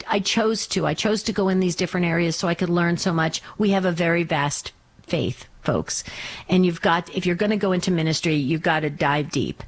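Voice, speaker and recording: A mature American woman from New Jersey, in her fifties or sixties, chatting casually as a radio host in an effusive, emphatic way. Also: on the low side. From New Jersey, United States. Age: 50s or 60s. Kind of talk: casual chat answering a listener's letter.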